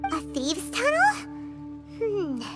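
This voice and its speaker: A woman voicing a small, excitable, nervous childlike fairy companion in a video game, with a squeaky voice. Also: slow pace, full character voice. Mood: curious. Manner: speaks of self in third person. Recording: loud music behind. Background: game music.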